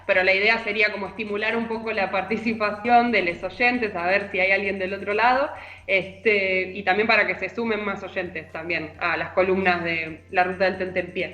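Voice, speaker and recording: A woman's voice: medium pitch, fairly even intonation, normal speed, sharp tone. A woman with a Rioplatense Argentine accent, talking conversationally as a literary columnist on a radio show. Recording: roomy echo, music underneath.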